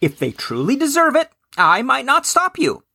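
A woman playing a patient young male sorcerer's apprentice in a comedic fantasy audio drama, dry, measured and long-suffering. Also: high-pitched, wildly swooping pitch, sharp tone, slightly rough, no echo, full character voice. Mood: placating.

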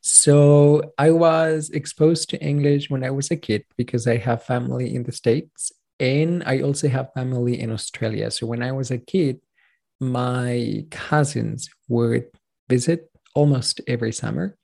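A man's voice: medium pitch, measured pace, gravelly, mellow. A Chilean man in his thirties, a native Spanish speaker, telling his story as a pronunciation coach in a casual interview, earnest and rambling with restarts.

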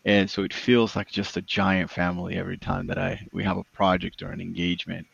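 A man's voice: low-pitched, level tone, fairly quick, vocal fry, fairly bright tone, highs cut off, a little hiss or hum. A man who founded a consulting firm, talking earnestly in an interview and often adding "right?". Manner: earnest, repeats words, tag "right?". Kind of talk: business interview.

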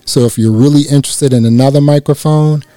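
A man with a slightly raspy voice reviewing a microphone in a casual, chatty way, speaking close into a dynamic mic. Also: mid-range voice, fairly quick, no echo. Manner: relaxed, chatty, many "you know" fillers. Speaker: gear reviewer. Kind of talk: casual product review to camera. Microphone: close dynamic microphone through a processor.

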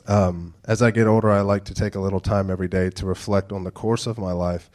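A pastor in his thirties delivers a casual sermon in a very deep voice. His nose is stuffed up and he speaks in a low, slow, near-monotone way, mixing church announcements with dad jokes. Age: 30s. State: stuffed-up nasal congestion.

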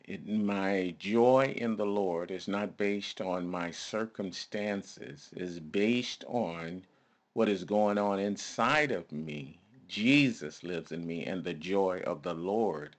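A man preaching a devotional sermon, emphatic and deliberate, quoting scripture and putting rhetorical questions to listeners. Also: low voice.

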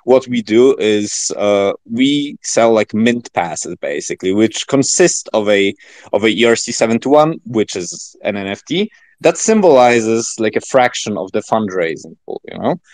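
A man talking as a podcast guest in casual, informal chat, rambling and halting with many filler words. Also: lowish voice, animated, a little mellow, no echo. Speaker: podcast guest, project founder.

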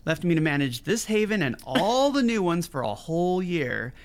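An actor playing an earnest, tender-hearted advocate in an audio drama, talking in a chatty, expressive way. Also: male voice, fairly high pitch, normal speed. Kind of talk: naturalistic audio drama acting.

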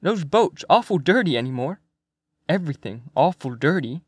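A man's voice: fairly high pitch, big pitch swings, moderate pace, dry recording, studio quality. A man voicing a sly, toothless, fussy old Swedish fisherman in an audiobook, sentences rising like questions. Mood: reluctant. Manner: questioning rise at sentence ends. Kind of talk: acted audiobook character dialogue.

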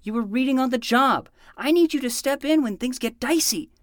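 A man's voice: very high voice, fairly quick, fairly bright tone, no room sound, clean studio sound. A man voicing an earnest, bossy twelve-year-old boy who talks like a dramatic hard-boiled detective in a fiction podcast. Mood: bossy, determined. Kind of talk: playful fiction podcast acting.

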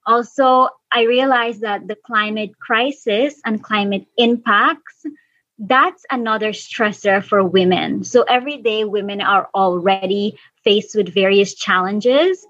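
A woman's voice: mid-range voice, a little slow, a bit bright, no room sound. Woman from Guyana, muffled remote line. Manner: earnest, thinks aloud with restarts. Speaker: guest, environmental officer. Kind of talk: casual interview answers.

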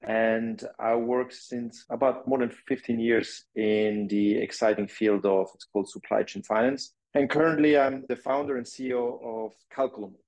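A man's voice: medium pitch, little pitch movement, normal speed, few pauses, darkish tone, poor audio. A man from Switzerland with a French-Swiss accent, a company founder explaining his business step by step as a podcast guest. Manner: explanatory, walks through points step by step, non-native phrasing.

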